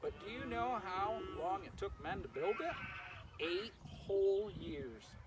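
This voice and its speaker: A man's voice: high voice, lively intonation, slow pace, distant mic, voice pushed up. A man voicing a wise, practical female spider in a children's storybook, instructive and matter-of-fact. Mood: opinionated. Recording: clearly noisy, farm animal sounds behind.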